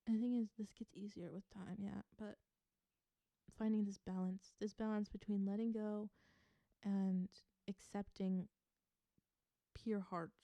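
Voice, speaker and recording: A woman from the American South giving an intuitive tarot reading to viewers. She gives earnest advice, repeats phrases for emphasis and laughs softly. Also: mid-range voice, level tone, measured pace, long pauses, no room sound, highs cut off. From Southern United States. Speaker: tarot reader.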